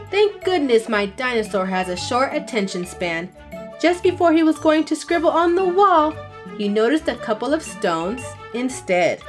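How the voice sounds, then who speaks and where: A young adult woman hosting a children's channel, reading a picture book aloud in an expressive, sing-song way. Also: high-pitched. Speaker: children's story reader. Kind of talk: read-aloud storytelling for children.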